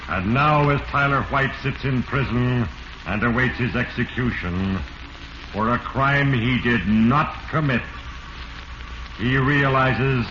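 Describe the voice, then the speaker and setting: An actor voicing a solemn spirit of destiny, narrating a 1940s radio mystery with grave, portentous delivery. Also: male voice, medium pitch. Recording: echoey, muffled, noisy vintage radio recording.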